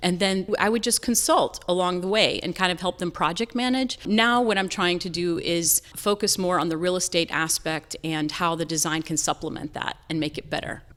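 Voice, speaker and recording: A woman's voice: on the low side, normal speed, hardly any pauses, a bit bright. An American woman from North Carolina giving earnest, explanatory answers as a guest in a conversational podcast interview. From North Carolina, southern United States. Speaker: podcast guest, realtor and design business owner.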